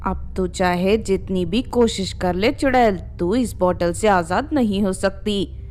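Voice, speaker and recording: A woman's voice: medium pitch, a little slow, a little mellow, pitched below the performer's own voice, lightly acted. A woman voicing a greedy, scheming older mother-in-law in a harsh, accusing, commanding way for a cartoon story. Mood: scheming, menacing.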